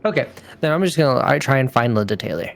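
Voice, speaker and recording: A man's voice: mid-range voice, expressive, quick pace, vocal fry. A man chatting casually as a player in a tabletop game, informal and slangy in how he talks.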